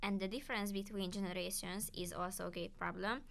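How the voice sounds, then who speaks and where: Woman, monotone, gravelly fry, hesitant, filler pauses, faint background noise. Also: medium pitch. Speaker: student podcast participant. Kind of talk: reading a prepared segment on the environment.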